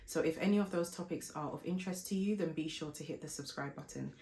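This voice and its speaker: A woman, hoarse from a flu, chatting politely as a vlogger telling a shopping story before an unboxing. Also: low voice, fast, a little mellow. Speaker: fashion and lifestyle vlogger. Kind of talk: casual unboxing vlog chat.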